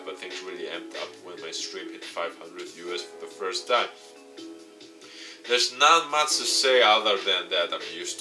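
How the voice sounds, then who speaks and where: A man with a fairly low voice does a let's play, reading game text aloud and breaking off for his own comments. His delivery stumbles now and then. Guitar music plays clearly behind him. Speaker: gaming YouTuber.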